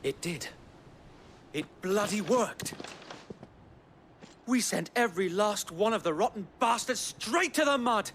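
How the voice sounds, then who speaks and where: An actor playing a loyal, battle-weary resistance knight in a fantasy game, earnest and formal with a rough soldier's tongue. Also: male voice, sits high, lively intonation, unhurried, pauses often, fairly bright tone, slight background noise, full character voice. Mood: fierce triumph. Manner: earnest, rousing, formal speech with some coarse swearing. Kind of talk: dramatic fantasy game acting. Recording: orchestral game music behind.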